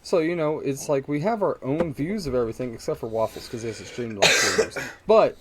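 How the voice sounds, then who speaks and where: A man's voice: medium pitch, animated, a little fast, heavy background noise. A man co-hosting a casual podcast, bantering in a teasing, laid-back way. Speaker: podcast co-host.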